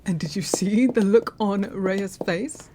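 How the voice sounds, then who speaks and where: A woman's voice: medium pitch, measured pace, noisy background, close to an everyday voice. A woman playing a playful, gossipy law-office colleague in an audio roleplay, with an upbeat, teasing manner. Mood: teasing, impressed. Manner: animated, teasing.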